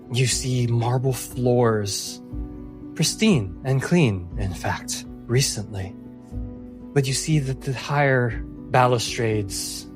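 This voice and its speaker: A man narrating a fantasy tabletop game as game master, in measured phrases with dramatic pauses. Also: medium pitch.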